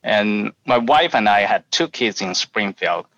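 A man in his forties with a Chinese accent, a guest on a podcast interview, talking in a measured way with many fillers. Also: on the low side, lively intonation, a bit bright, no echo. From China. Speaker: guest, insurance investment professional. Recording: dull, lo-fi sound.

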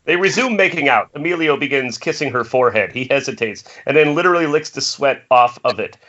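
A man's voice: mid-range voice, lively intonation, quick pace, fairly bright tone. A man reading stage directions as narrator at an amateur table read over an internet call. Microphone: remote call from home. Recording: muffled internet call audio.

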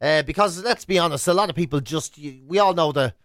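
An Irish man with a notably high voice chats in a tight, dry studio sound. He hosts casually, spinning rambling, animated comic tales. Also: quick pace, no echo. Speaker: radio show host.

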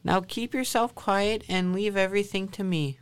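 A woman acting a shrewd, calm giant's wife in a radio drama, coaxing and unflappable. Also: medium pitch, moderate pace, clean tone, natural voice. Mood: calmly commanding.

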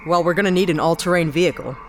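A woman's voice: fairly low pitch, rapid-fire, a little hiss or hum, lightly acted. A woman playing a cynical, practical spaceship captain with a wry, no-nonsense manner in a sci-fi audio drama.

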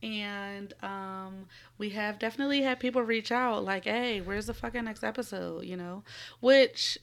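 A woman with a raspy voice from a cold, co-hosting a casual podcast from a room at home, rambling, laughing and swearing freely. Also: mid-range voice, unhurried, fairly bright tone. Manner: profane, giggly, rambling. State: recovering from a cold. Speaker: podcast co-host. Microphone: studio microphone.

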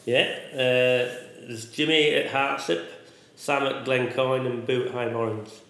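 A man who is a sheep farmer, talking casually in a loud voice and often ending with tag questions. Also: medium pitch, steady tone, unhurried, vocal fry, echoey room. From England. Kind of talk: casual conversation.

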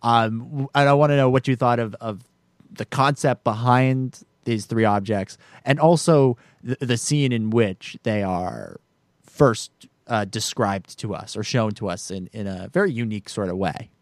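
A man's voice: mid-range voice, moderate pace, no echo. A man co-hosting a casual film podcast, chatting with lots of restarts and fillers and laughing often. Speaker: podcast co-host.

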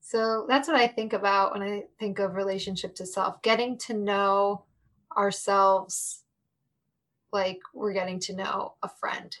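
A woman speaking as a podcast interview guest, reflective and warm in tone, laughing often and addressing the listeners directly. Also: medium pitch, level tone, unhurried.

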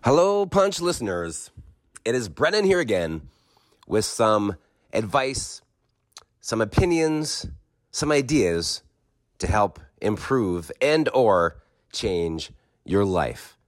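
Casting: low-voiced male podcast host, hoarse from a cold, slow and measured delivery. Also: sing-song. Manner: measured, with frequent pauses and fillers. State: hoarse, losing his voice from a cold. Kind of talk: monologue commentary, partly reading a statement.

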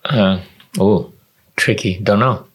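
A man co-hosting a podcast, asking questions in a relaxed, casual way. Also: lowish voice, slow pace. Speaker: podcast co-host.

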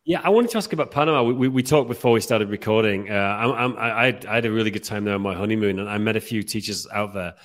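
A man's voice: fairly low pitch, fast talker, hardly any pauses, a bit bright. A man co-hosting a podcast interview, asking questions in a friendly way with many stammers. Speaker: podcast co-host.

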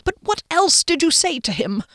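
Woman voicing a high, agitated, fretful man. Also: very animated, normal speed, a bit bright, no echo, clean studio sound, full character voice. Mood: worried. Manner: tense, urgent, fretful. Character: anxious, agitated man confronting his bandmate friend. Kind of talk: audiobook character dialogue.

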